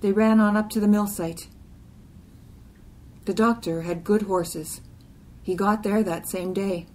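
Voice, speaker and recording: A woman's voice: mid-range voice, fairly even intonation, a little slow, a little mellow. A woman reading a literary poem aloud as part of a group reading. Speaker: poetry reader.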